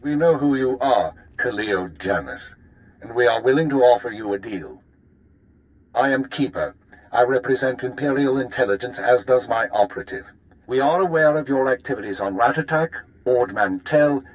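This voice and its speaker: Man playing male spymaster, mid-pitched, composed, clipped formal delivery, authoritative, video game briefing. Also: expressive, moderate pace, lightly acted, poor audio. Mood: formal, persuasive. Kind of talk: video game character dialogue.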